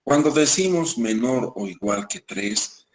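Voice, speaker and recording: A man's voice: medium pitch, expressive, low-quality recording. Patient man teaching a math class. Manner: repeats points, keeps checking with "¿listo?"; slows down on key definitions. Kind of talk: classroom lecture.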